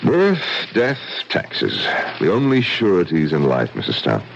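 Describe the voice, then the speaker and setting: An actor playing a blunt, forceful middle-aged businessman and widower in a vintage radio mystery. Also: low-pitched, huge pitch swings, a little slow, gravelly, slight background noise, full character voice. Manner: blunt and forceful, speaks his mind. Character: blunt, tough-minded male businessman widower. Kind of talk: vintage radio drama acting. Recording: dull old radio broadcast.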